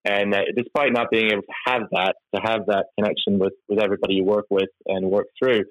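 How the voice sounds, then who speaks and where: A man from Norway, a company chief executive, answering interview questions in a halting way, with many fillers and restarts. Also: on the low side, flat delivery, no room sound, highs cut off.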